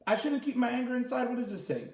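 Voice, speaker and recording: A man leading a children's story time as a teacher, reading aloud and asking the listeners simple questions in an animated way. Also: extremely high pitch, fast. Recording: roomy, echoey sound.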